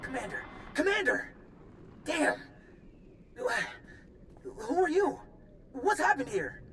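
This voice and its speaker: A frazzled military bureaucrat in a sci-fi game. His voice is high and very animated, earnest and harried, with faint noise behind it. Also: slow, full character voice. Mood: alarmed. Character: frazzled, self-pitying male Republic official, anxious and pleading. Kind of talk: video game character acting.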